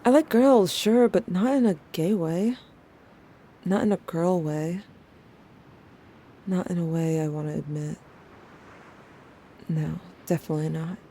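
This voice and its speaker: Brooding, wounded young narrator for audio drama played by a woman; mellow, lowish, introspective, background noise. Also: unhurried, long pauses. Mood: conflicted, confiding. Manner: casual, wry, introspective. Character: brooding young narrator, wry, wounded, questioning gender, addressing lost sibling. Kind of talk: audio drama narration, intimate confessional monologue.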